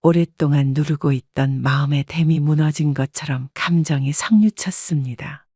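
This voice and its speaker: A woman narrating a first-person family story in a steady, scripted storytelling voice. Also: deep voice, normal speed, dry recording, studio quality. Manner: steady, even-paced storytelling.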